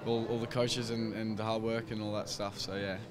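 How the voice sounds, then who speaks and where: Young Australian footballer, deep and hesitant. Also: male voice, little pitch movement, fairly quick. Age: young adult. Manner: hesitant, lots of fillers and restarts. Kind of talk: post-match interview. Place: team rooms by a sponsor wall, crowd noise behind. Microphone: handheld microphone held by interviewer. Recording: crowd noise behind.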